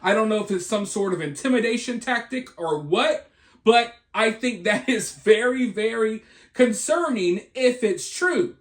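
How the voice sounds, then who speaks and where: A man's voice: high voice, expressive, measured pace. A man hosting an opinionated news commentary, speaking emphatically with repeated points and rhetorical questions. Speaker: video host and commentator.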